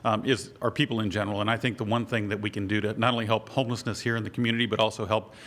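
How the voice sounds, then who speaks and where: A man running for office, speaking brisk and assertive at a forum, his voice a bit scratchy from a cold. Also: on the low side, level tone, a bit bright. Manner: brisk, assertive, rushes then slows down. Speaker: political candidate. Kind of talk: candidate forum statement.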